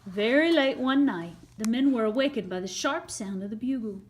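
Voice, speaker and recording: A woman storyteller with a high voice reads a children's picture book. Her delivery is highly animated and dramatic, rising and falling freely as she narrates. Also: moderate pace. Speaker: read-aloud storyteller.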